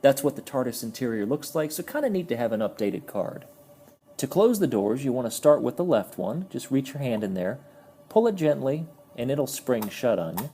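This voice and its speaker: Mellow, husky man reviewing a toy. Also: medium pitch, big pitch swings, normal speed. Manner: friendly, explanatory, stumbles over words. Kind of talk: casual product review.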